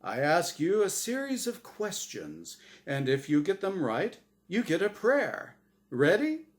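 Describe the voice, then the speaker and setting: A man's voice: high-pitched, wildly swooping pitch, unhurried, voice pushed up, exaggerated voice. A man voicing a smug, showy angel who hosts a game show, with theatrical, emphatic patter in a storybook reading. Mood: showy, teasing. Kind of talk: storybook character voice in dramatic reading.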